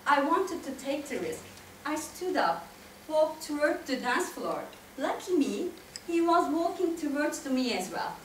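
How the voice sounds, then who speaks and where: High female voice, thirties, Turkish accent, lively storytelling speech, recorded from afar. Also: recorded from a distance. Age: 30s. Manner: expressive, addresses listeners directly. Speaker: club speaker, tango dancer. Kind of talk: prepared storytelling speech to an audience. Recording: echoey room from afar, faint noise.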